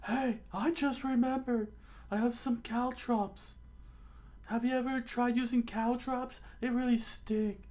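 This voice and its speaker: A woman's voice: on the high side, steady tone, measured pace, darkish tone, voice pushed up, natural voice. Woman as a squealing, cowardly young man. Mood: eager. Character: cowardly, whiny, boastful young man in pirate adventure.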